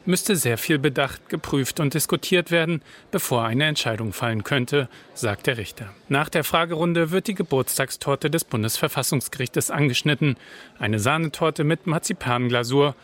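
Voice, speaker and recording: A man's voice: on the high side, fairly bright tone. A man narrating a scripted radio news feature as a reporter.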